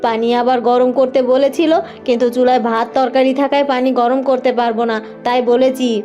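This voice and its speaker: Woman as a high-voiced, dutiful young wife. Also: flat delivery, fairly quick, warm tone, highs cut off, soft background music, close to an everyday voice. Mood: pleading, apologetic. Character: meek, dutiful, put-upon young village wife. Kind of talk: cartoon voice acting.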